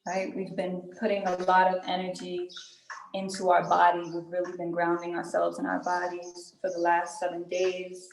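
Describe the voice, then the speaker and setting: A woman leading a guided group meditation in an unhurried, soothing way, pausing often between phrases. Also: fairly low pitch, completely flat delivery, vocal fry. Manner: unhurried, soothing instruction with pauses and check-in tags. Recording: roomy echo with music behind.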